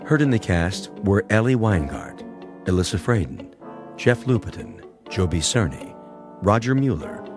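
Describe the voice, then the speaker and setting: A man reading scripted radio drama credits as an announcer. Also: low-pitched. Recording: studio announcement under loud music.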